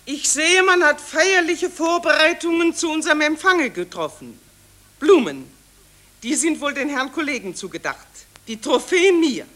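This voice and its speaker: A woman's voice: high-pitched, expressive, moderate pace, a bit bright. An actress playing a gushing, self-important female war reporter in a satirical radio drama, declaiming in an affected, theatrical way.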